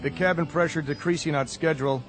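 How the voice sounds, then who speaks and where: An actor playing a brisk, procedural male mission control announcer, clipped and formal, in a radio drama. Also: fairly high pitch, fairly even intonation, over loud music, natural voice. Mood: formal, controlled.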